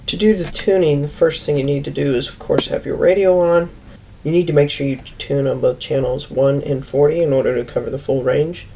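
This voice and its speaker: A man with a slightly high-pitched voice presents a practical how-to. He explains each step plainly and methodically. There is some background noise with vehicle ambience around him. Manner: plain, methodical instructional delivery. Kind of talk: hands-on tutorial, explaining step by step.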